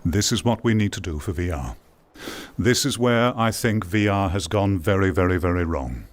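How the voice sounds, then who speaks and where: A man's voice: sits low, expressive, moderate pace. A man giving opinionated commentary to viewers, emphatic and repeating words for stress. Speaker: video host, VR commentator.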